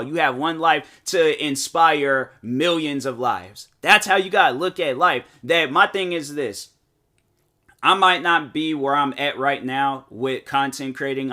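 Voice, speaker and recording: Bright-voiced young man podcasting. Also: a little high. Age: 20s. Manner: rambling, motivational, heavy filler words. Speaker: podcast host. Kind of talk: casual solo podcast monologue.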